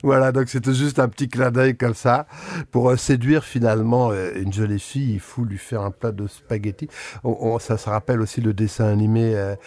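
A man hosting a radio talk show in a relaxed, casual way, often pausing with fillers. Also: on the low side. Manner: relaxed, hesitant with frequent fillers. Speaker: radio host.

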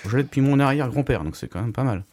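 A man guesting on a radio show, telling about his family roots in a quick, lively way. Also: mid-range voice. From France. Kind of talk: casual radio interview. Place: radio studio.

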